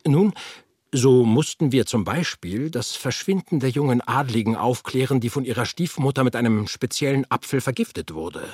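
Jacob Grimm, courteous slightly husky scholar. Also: male voice, mid-range voice, animated, moderate pace, a little mellow, no echo, clean studio sound. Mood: earnest. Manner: formal, old-fashioned gallant phrasing. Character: Jacob Grimm, courteous, earnest nineteenth-century scholar turned investigator. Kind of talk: scripted interview in an audio drama.

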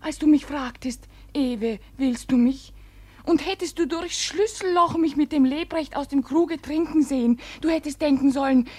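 A wronged young village girl , played by an actress in a classic radio drama. Her voice is high and earnest. She speaks theatrical verse with wounded reproach. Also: normal speed, warm tone, lightly acted. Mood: hurt, reproachful. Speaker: actor in a radio play.

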